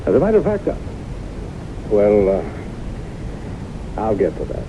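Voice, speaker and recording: A man's voice: huge pitch swings, takes it slowly, exaggerated voice. An actor playing an earnest, inquisitive male doctor in a 1970s radio drama. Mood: earnest, hesitant. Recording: noisy, rumbling, muffled 1970s radio recording.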